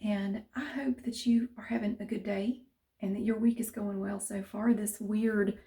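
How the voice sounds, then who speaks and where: Southern woman vlogger, middle-aged, mellow and rambling, easy chat. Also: medium pitch, steady tone, moderate pace. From central North Carolina. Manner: chatty, rambling, many restarts. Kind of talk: casual chat to camera.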